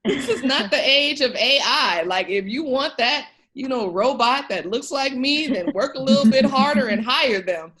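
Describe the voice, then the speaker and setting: A woman's voice: sits high, animated, normal speed, few pauses, fairly bright tone. A woman speaking as an interview guest, emphatic and encouraging, addressing the listener directly in a conversational way. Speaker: podcast guest, education researcher.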